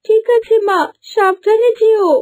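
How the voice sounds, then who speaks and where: A woman's voice: very high-pitched, level tone, measured pace, darkish tone. A woman voicing a loyal young daughter in a plaintive, theatrical manner for a fairy-tale cartoon. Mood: worried, gentle. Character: young daughter, tearful and loyal; also scheming impostor princess.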